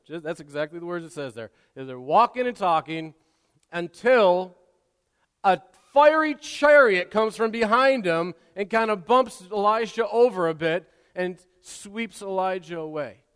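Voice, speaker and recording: A man preaching a sermon, swinging between quiet asides and loud, impassioned exhortation, often repeating and restarting words. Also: high-pitched, animated, normal speed, smooth. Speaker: pastor. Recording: long hall reverb.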